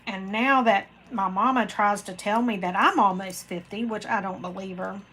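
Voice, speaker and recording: A woman's voice: mid-range voice, normal speed, a bit bright, a little hiss or hum, rough recording. A woman, hosting a casual live chat and rambling to viewers by name. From Florida, USA. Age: 40s. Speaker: craft channel host reading live chat.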